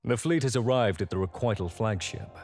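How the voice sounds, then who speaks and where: An actor playing a stern, dutiful space fleet admiral in a video game, with formal military diction. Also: male voice, fairly low pitch, brisk, music in the background, lightly acted. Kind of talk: video game cutscene acting.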